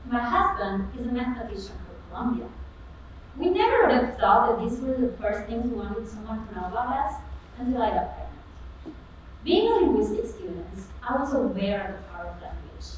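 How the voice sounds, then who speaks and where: A young woman whose first language is Albanian gives an earnest, rehearsed research talk on stage, stressing key phrases with lifts in pitch. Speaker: competition presenter. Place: auditorium stage. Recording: echoey hall, far mic, faint noise.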